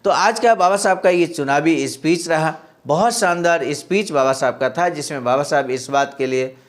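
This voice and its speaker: Higher-pitched man reading a formal historical account. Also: moderate pace. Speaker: narrator of a historical speech series. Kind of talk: reading a historical speech report aloud with brief comments.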